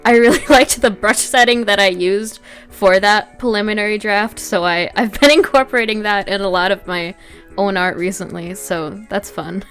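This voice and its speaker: A woman's voice: mid-range voice, normal speed, fairly bright tone. A woman giving casual, informal artist commentary in a conversational way. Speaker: artist narrating own speedpaint. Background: background music.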